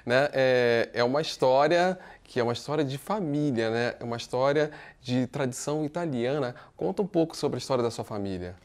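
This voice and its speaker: A TV interview co-host with a voice in the middle range. They open the program and lead the guest with lively, chatty prompts and quick reactions. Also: mid-range voice. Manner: enthusiastic reactions, conversational prompts. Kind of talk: interview hosting.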